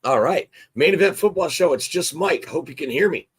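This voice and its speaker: Hoarse, chatty man hosting a sports show. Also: fairly high pitch, brisk. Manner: chatty, excitable, restarts often. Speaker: show host. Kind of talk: casual sports talk show.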